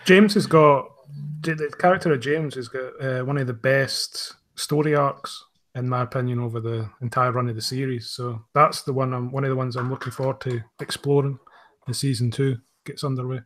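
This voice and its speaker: Male creator as podcast guest, middle pitch, casual explanatory talk. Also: fairly even intonation, normal speed, fairly bright tone.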